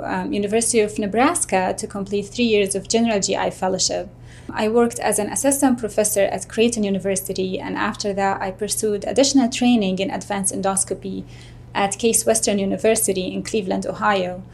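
A woman from Jordan, a physician guest, answering interview questions in a measured way with frequent ums and uhs. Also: mid-range voice, darkish tone, a little hiss or hum. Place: studio.

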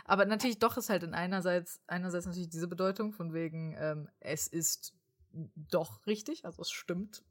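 A woman's voice: lowish voice, normal speed. A young woman hosting a casual interview, talking animatedly and mixing English words and the odd swear into her speech. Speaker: host. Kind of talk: casual chat.

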